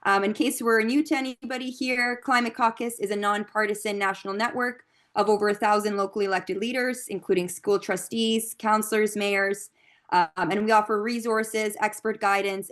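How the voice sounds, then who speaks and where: Young woman hosting a call, friendly, lots of ums, mid-pitch voice. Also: fairly even intonation, a little fast, fairly bright tone. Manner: friendly, with frequent um and uh. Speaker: host. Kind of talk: hosting an online meeting. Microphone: video call.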